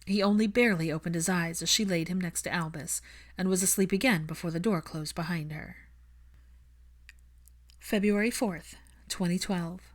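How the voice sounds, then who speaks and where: Woman, audiobook narrator, scripted fiction reading, slightly low pitch. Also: normal speed, long pauses.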